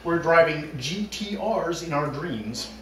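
A man in his thirties giving a mock-serious dramatic reading in a deliberate, theatrical manner with long pauses, in a living room. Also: on the high side, expressive, a bit bright. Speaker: talent show performer. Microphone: far camera. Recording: distant camera, roomy echo, noisy background.

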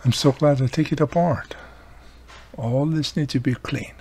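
Gravelly-voiced man, casual repair commentary. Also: medium pitch, normal speed, warm tone. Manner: talks in short remarks while working. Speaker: host, radio repairer. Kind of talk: casual hands-on repair narration. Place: indoor workbench. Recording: noisy recording with background room noise.

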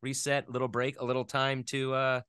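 A man in his thirties chatting casually as an interview guest, thinking aloud in a halting, self-deprecating way. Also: medium pitch, completely flat delivery, a bit bright, clean tone, no echo, studio quality. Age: 30s.

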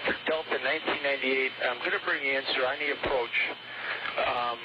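A man's voice: medium pitch, steady tone, a little fast. An American man working as an air traffic controller, speaking in clipped phrases over aviation radio. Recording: very noisy, muffled aviation radio.

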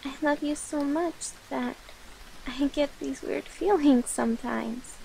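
Woman acting a very high-pitched shy catgirl familiar. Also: gravelly, darkish tone, a little hiss or hum, exaggerated voice, poor audio. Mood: shy, affectionate. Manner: halting, flustered, cutesy pleading. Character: young catgirl witch's familiar, shy, flustered, devoted, clingy. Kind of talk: ASMR roleplay.

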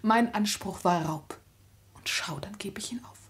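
An actress playing a sorrowful, dutiful young woman in love in a 1950s German radio drama, pleading, solemn and breathy. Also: mid-range voice, unhurried, close to an everyday voice. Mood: sorrowful, resigned.

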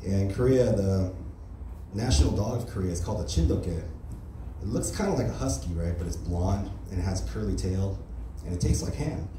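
A young American man doing a casual stand-up set on a small club stage, with a deadpan delivery and frequent "right?" and "you know?". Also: low-pitched, brisk, background noise. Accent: General American. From United States. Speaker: stand-up comedian. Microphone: handheld mic on stand. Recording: roomy club sound from a far camera.

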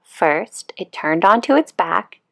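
A woman reading a children's picture book aloud as a calm, expressive storyteller. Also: fairly low pitch, takes it slowly, creaky.

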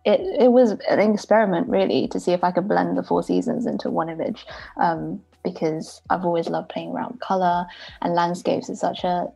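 A woman's voice: fairly low pitch, steady tone, fairly quick, gravelly, warm tone, slightly rough, poor audio. A young woman from Taiwan, an illustrator chatting as a guest over a video call from home, often giggling. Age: young adult. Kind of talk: casual interview chat.

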